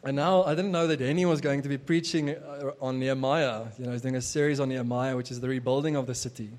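A man's voice: medium pitch, fairly even intonation, fast talker, darkish tone. A young adult man whose first language is Afrikaans, preaching a casual sermon as pastor, with many fillers and restarts.

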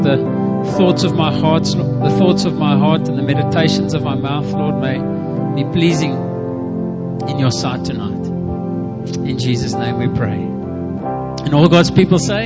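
A male pastor in his thirties with a South African English accent, praying and then preaching in a church in a chatty, warm way that is full of "amen". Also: on the high side, highs cut off. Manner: warm, chatty, mixes in Afrikaans, lots of "amen" and "Lord". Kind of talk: church prayer and sermon. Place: church hall with a live congregation.

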